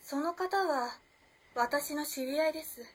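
Woman playing an enigmatic young woman, high voice, poised, teasing, old-fashioned polite phrasing, radio drama. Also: measured pace, slight background noise, rough recording. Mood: composed. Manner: cool, feminine old-fashioned politeness, playful. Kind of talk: Japanese radio drama acting.

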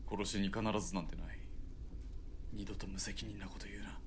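An actor playing a surly, hot-tempered army spotter and cynical veteran in a radio drama, with gruff, rough soldier's speech. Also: male voice, lowish voice, flat delivery, brisk, slight background noise, close to an everyday voice. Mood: cold, menacing.

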